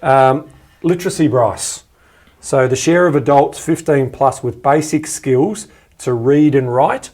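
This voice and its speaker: A man's voice: mid-range voice, measured pace, a little mellow. A man with an Australian accent chatting enthusiastically as a podcast co-host in a casual style. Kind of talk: casual chat.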